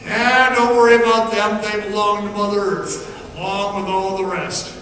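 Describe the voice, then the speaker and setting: An actor playing a sinister, slyly knowing storyteller in a radio play, speaking with dramatic, ominous pauses. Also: mid-range voice, level tone, a bit bright, slight background noise, lightly acted. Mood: ominous, taunting. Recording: echoey stage hall, distant mic, music.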